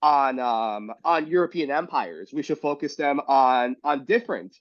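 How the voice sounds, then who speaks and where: A sick man with a raspy voice, reading a letter aloud and talking in a casual group discussion with many restarts. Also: medium pitch, lively intonation, unhurried, dry recording, muffled recording. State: sick, coughing.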